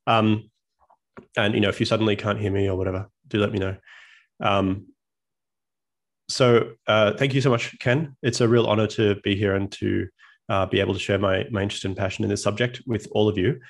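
A man's voice: lowish voice, steady tone, a little fast. A man in his thirties with an Australian accent, giving a friendly, self-deprecating slide presentation as a guest physicist over a video call.

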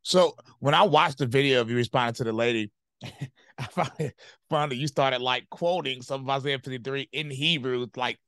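A man's voice: mid-range voice, normal speed, a bit bright, dry recording, clean studio sound. A man interviewing a guest, asking questions in a casual, hesitant way with many fillers.

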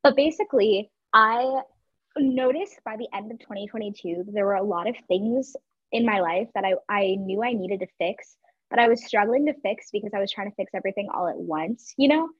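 Young female podcast co-host from Georgia, husky and eager, on a dull, lo-fi mic. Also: medium pitch, fairly quick, no echo. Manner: bubbly, excitable, talks with lots of fillers. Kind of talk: casual chat. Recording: dull lo-fi sound.

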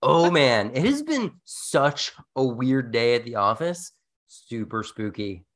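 A man's voice: mid-range voice, takes it slowly. A man hosting a podcast with playful, theatrical banter and storytelling. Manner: animated, theatrical. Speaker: podcast host.

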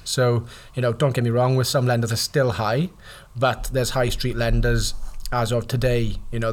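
A man co-hosting a podcast, explaining mortgage matters in a loose, conversational way full of "you know". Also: medium pitch. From Wales. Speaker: mortgage adviser, podcast co-host. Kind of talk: casual podcast chat.

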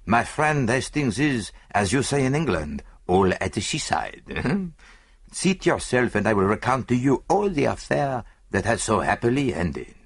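A man's voice: medium pitch, very animated, unhurried, creaky, husky, pitched above the performer's own voice, heavily acted. A man voicing a vain, fussy middle-aged Belgian detective in an audiobook, with a French-speaking Belgian accent and precise, theatrical delivery. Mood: triumphant, explanatory. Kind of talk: audiobook character dialogue.

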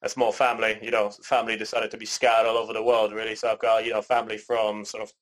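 A man raised in Canada, co-hosting a podcast in relaxed casual chat, rambling with lots of "you know" and "sort of.". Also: lowish voice, fast. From born in Poland, raised in Canada. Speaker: podcast co-host.

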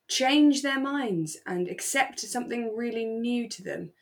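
A woman's voice: a little high, lively intonation, a little slow. A woman with a British accent chatting casually and reflectively as a podcast co-host. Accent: British English. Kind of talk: casual chat, discussing a radio drama.

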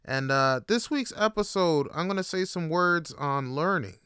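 A young man hosting his own podcast, talking in a casual, slangy monologue full of animated jokes and asides. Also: a little high, normal speed, clean tone, no room sound, studio quality. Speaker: podcast host.

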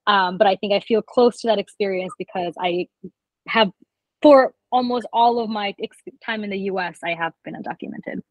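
A woman's voice: mid-range voice, normal speed, no room sound, poor audio. A young adult woman from Bangladesh, a guest telling her story in a thoughtful, earnest way. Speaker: guest, immigrant rights advocate. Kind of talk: casual interview.

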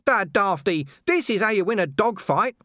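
A scruffy little talking dog, yappy and blunt, voiced by a man, pitched very high and loud with excitement. His voice is dry and close. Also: moderate pace, dry recording. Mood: scornful.

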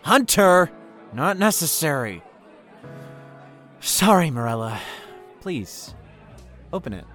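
Lovelorn young guy, earnest, really varied pitch, light music underneath. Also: very animated, slow, pauses often, full character voice. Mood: relieved, eager. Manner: earnest, soft-hearted. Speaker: voice actor. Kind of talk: audio drama dialogue.

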